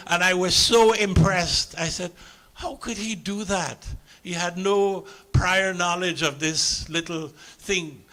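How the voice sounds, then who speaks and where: A man's voice: fairly high pitch, pushed and bright. A middle-aged man with a Caribbean English accent, a calypsonian telling a chuckling story to a live audience on stage.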